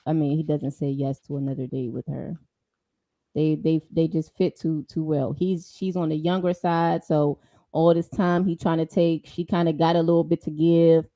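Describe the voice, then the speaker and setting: A woman co-hosting a casual podcast, giving slow, drawling opinions on a dating show. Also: low voice, flat delivery, dark tone, dry recording. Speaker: podcast co-host. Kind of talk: casual reality-show discussion.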